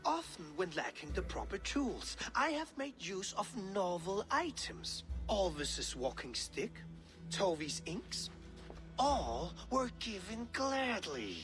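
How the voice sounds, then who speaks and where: A man's voice: sits high, sing-song, slow, over music, heavily acted. An actor playing a flamboyant, self-important male Norse bard in a video game, speaking in a florid, grandiose way. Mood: grandiose, persuasive. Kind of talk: dramatic video game acting.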